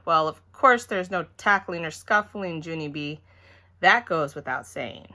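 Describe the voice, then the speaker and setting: Exasperated dad laying down the rules, played by a woman, emphatic, bright, forceful storybook voice. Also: deep voice, lively intonation, measured pace, heavily acted. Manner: stern, emphatic, rule-giving. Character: stern, exasperated father running an egg hunt.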